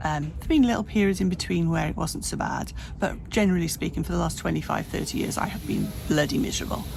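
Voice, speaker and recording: A woman's voice: on the low side, lively intonation, quick pace, gravelly, noisy background. A woman of fifty-eight speaking candidly in a personal vlog, rambling and often restarting her sentences. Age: 50s. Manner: rambling, frequent restarts and self-corrections. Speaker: vlogger. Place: home, hot room.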